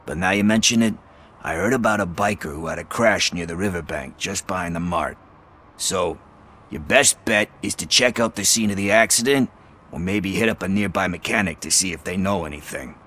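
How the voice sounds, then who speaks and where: A man's voice: deep voice, normal speed, bright, forceful tone. An actor playing a streetwise male informer, sardonic and chatty, talking in casual slang with a wry edge. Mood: confiding. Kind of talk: video game dialogue acting.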